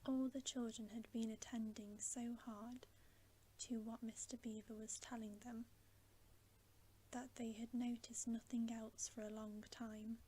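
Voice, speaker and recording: Woman doing asmr bedtime reading, slow n soft, whispery, mellow, bit of background noise. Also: fairly high pitch, level tone. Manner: hushed, soothing, unhurried. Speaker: ASMR narrator.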